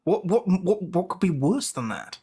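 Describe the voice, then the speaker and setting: Animated woman. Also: on the low side, normal speed, breathy edge. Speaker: guest co-host. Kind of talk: casual chat.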